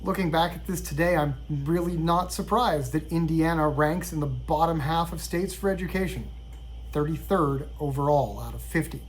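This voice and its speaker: A middle-aged man from Sweden telling a personal story in a measured, reflective way. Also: a little high, a little hiss or hum, low-quality recording. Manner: reflective, measured, with occasional restarts. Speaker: vlogger.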